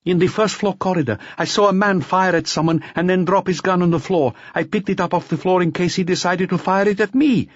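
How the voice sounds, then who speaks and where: A man's voice: high voice, brisk, hardly any pauses, no echo, dull audio, voice pushed up. A man voicing a defiant, scornful young woman in an audiobook, a murder suspect giving curt, stonewalling answers. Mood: defensive, insistent. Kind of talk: audiobook character voice.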